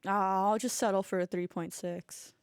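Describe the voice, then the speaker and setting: Chatty, giggly woman with a smooth voice. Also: medium pitch, fairly even intonation, moderate pace, dry recording. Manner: chatty, rambling, giggly, with many "like"s. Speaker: podcast host. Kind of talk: casual podcast chat.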